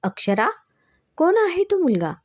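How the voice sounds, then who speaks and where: A woman's voice: high voice, sing-song, unhurried, darkish tone, no room sound, studio quality, pitched above the performer's own voice. A woman storyteller voicing a shrewd middle-aged mother who questions in an insistent, worried, motherly way. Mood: probing.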